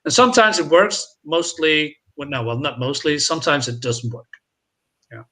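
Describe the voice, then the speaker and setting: Bright, crisp-edged man chatting about films. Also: fairly high pitch, animated, normal speed. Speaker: co-host on a film talk show. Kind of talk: casual film discussion.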